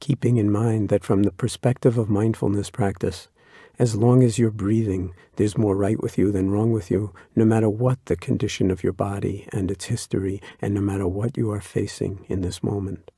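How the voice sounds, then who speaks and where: A man leading a guided body scan meditation, speaking slowly in a soft, soothing way. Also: lowish voice, level tone, slightly rough, no room sound, clean studio sound. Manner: slow, soft-spoken and soothing.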